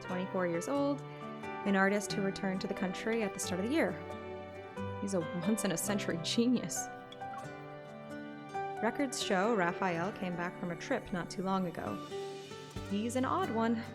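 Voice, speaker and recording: A woman's voice: mid-range voice, normal speed, pauses often. A woman reading a scripted first-person story like an audiobook, with music behind her voice. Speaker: narrator and streamer.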